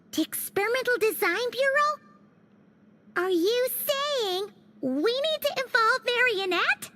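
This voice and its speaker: Super high chirpy girl, bright n bouncy, game cutscene. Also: slow, a little hiss or hum, full character voice. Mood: excited. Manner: lively, animated, expressive. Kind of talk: video game voice acting.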